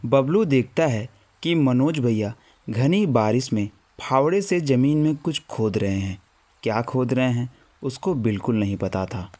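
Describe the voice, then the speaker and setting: A man's voice: medium pitch, measured pace, darkish tone. A man reading a scripted horror story as its narrator.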